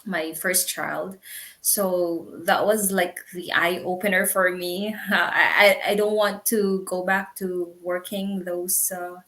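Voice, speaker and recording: A woman in her thirties from the Philippines, a guest in a casual interview, speaking haltingly with repeated words and frequent laughs. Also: lowish voice, little pitch movement, a bit bright, rough recording. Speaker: guest, business owner.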